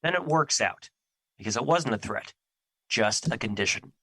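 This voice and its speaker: A man's voice: mid-range voice, animated, normal speed, bright, forceful tone, slightly rough, no echo. A man playing a stern, earnest embodiment of an emotion in an audio drama, speaking formally and easily irritated. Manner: formal, serious, stiff phrasing.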